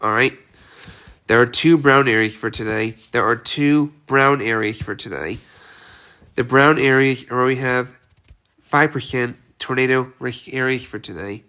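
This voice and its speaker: A congested man with a cold, his stuffy voice still bright and sharp, reads a severe weather outlook. He talks in a plain, repetitive way, checking in with "all right" after each list of cities. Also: medium pitch, a little slow, clear, resonant tone. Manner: repetitive, reads city lists with frequent "all right" and "okay". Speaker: weather forecaster. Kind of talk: amateur weather outlook video.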